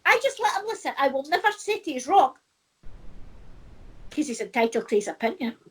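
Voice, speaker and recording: Woman, middle-aged, high and lively voice, chatty with frequent laughs. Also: fairly quick. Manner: chatty, laughs often, repeats herself. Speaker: co-host. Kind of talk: casual chat.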